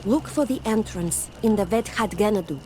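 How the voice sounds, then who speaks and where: An actress playing a calm, resolute native tribeswoman in a fantasy video game, solemn and assured in her speech. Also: medium pitch, moderate pace, natural voice. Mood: instructive. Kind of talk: fantasy game dialogue acting. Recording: faint outdoor background noise.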